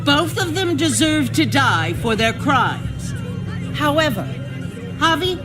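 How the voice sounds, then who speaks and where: An actress playing a cold, ruthless survivor town leader in a video game, theatrical, menacing and mock-sincere. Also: female voice, sits high, sing-song, slow, over music, full character voice. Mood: stern, vindictive. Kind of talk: dramatic video game acting.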